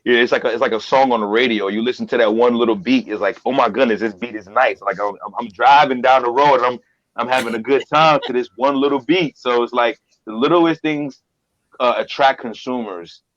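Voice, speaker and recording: A man's voice: mid-range voice, steady tone, brisk, a bit bright, muffled recording. A man guesting on a podcast as a voiceover artist, talking earnestly and repeating phrases for emphasis. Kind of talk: casual interview talk.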